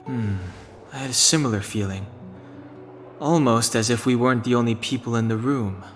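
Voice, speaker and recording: A man's voice: mid-range voice, normal speed, faint music behind, close to an everyday voice. An actor playing a serious, cautious young man in a video game, speaking in a restrained, matter-of-fact way. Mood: cautious, thoughtful. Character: serious, reserved, cautious young male travelling companion. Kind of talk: English video game voice acting.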